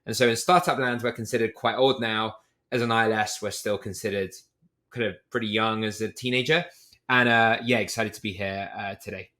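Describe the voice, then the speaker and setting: A British man with a lowish, bright and forceful voice, speaking as an interview guest. He explains things candidly and at length, dotting his speech with casual fillers. It sounds like a relaxed podcast conversation. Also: a little fast. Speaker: podcast guest, startup CEO.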